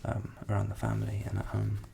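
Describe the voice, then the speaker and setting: A young man who grew up in England with Ukrainian as his first language, whispering softly as an ASMR host answering viewer questions and thinking aloud. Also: lowish voice, monotone, quick pace, gravelly, a little hiss or hum. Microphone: studio USB microphone.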